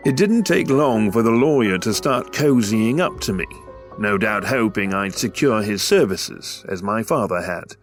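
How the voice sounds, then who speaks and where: An actor playing a polite, reserved young English heir, speaking in courteous, formal phrases in an audio drama. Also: male voice, on the low side, expressive, unhurried, faint music behind. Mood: brooding. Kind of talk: scripted audio drama with first-person narration.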